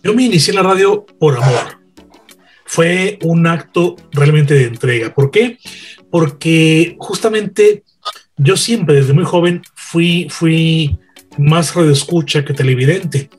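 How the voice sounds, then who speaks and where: Husky earnest man on a panel. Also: fairly high pitch, slow, faint music behind. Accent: Mexican Spanish. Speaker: radio broadcaster guest. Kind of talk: panel conversation.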